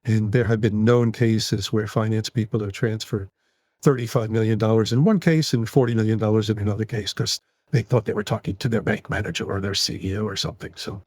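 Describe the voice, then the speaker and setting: A man in his sixties from the United States with a New York accent, answering podcast interview questions in long, animated run-on sentences. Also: on the low side, brisk, breathy edge, no room sound, studio quality. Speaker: guest psychologist.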